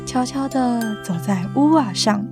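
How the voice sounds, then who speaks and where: A woman's voice: a little high, unhurried. A female reader reading a picture book aloud in a scripted style. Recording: children's music behind the voice.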